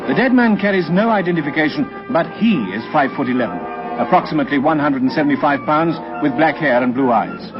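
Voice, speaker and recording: A grave, factual narrator read by a male actor for a vintage radio crime drama. His voice is on the high side, over clear music on a dull old recording. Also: animated, fast, natural voice. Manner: formal, factual.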